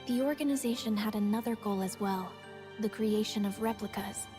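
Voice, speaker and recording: A woman reading scripted narration for a video game story recap in a measured, solemn storytelling manner. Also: mid-range voice, music in the background.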